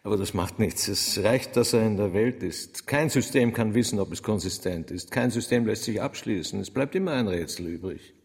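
An actor playing a reserved, stubborn young mathematician, terse and quietly insistent, in a German radio drama. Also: male voice, fairly low pitch, normal speed, gravelly, hardly any pauses. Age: young adult. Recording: long hall reverb.

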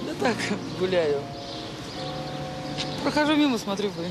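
A woman's voice: mid-range voice, sing-song, unhurried. Guarded woman over prominent music. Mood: casual, evasive. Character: guarded, intense woman newly working at children's home. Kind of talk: naturalistic Soviet radio drama acting. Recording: 1980s radio drama, prominent music behind.

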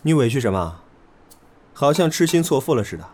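A man plays a young male idol who is sarcastic and teasing. His voice is medium in pitch with lively intonation. It is audio drama acting over music. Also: a little fast, natural voice.

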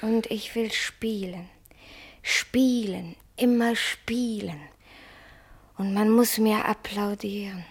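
An actress in a German radio play as a restless, vain, stage-struck young wife, breathy and intense, often sinking towards a whisper. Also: female voice, mid-range voice, fairly even intonation. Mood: wistful, insistent. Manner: dreamy, intense, drawn-out phrases.

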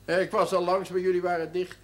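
A rough, hot-tempered drinking man, played by an actor in a seventies TV drama. His voice sits fairly high, and he talks in a blunt, unpolished, colloquial way. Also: flat delivery, fast, natural voice. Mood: gruff, candid. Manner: blunt, plain-spoken, colloquial. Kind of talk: 1970s Dutch television drama acting.

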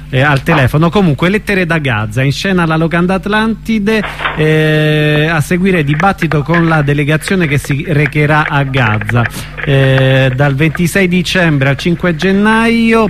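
Higher-voiced male radio host, informal. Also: fairly bright tone, a little hiss or hum. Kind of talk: casual radio chat and interview.

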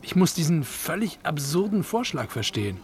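An actor playing a guarded, irritable husband in a German radio drama, speaking curtly, dryly and evasively. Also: male voice, a little high, sing-song, normal speed, creaky. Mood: dismissive, guarded. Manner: curt, dry, evasive.

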